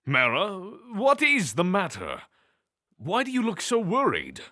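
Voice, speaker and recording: Man playing a bright, courteous, lively god. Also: high-pitched, unhurried, full character voice. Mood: concerned. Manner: polite, precise, formal. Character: courteous, curious male god with genteel understatement.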